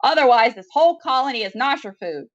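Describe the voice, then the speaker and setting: A woman's voice: sits high, expressive, fast talker, voice pushed up. A woman reader puts on a female warden of a science fiction prison colony. She is brusque and commanding, handing out curt orders in a raised voice. The recording is slightly dull. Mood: impatient, commanding.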